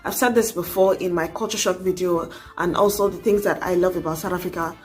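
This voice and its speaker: A woman's voice: lowish voice, fairly even intonation, brisk, soft background music. A young adult woman, talking earnestly to camera in a casual advice vlog, urging and coaxing with many asides. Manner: earnest, exhorting, frequent "you know". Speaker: vlogger.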